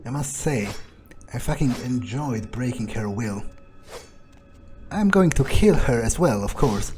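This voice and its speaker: A man's voice: medium pitch, lively intonation, over music. A man voicing his own game character in first person, gloating and menacing. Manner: menacing, gloating, slow and deliberate. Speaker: roleplaying let's play host. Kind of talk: in-character roleplay commentary.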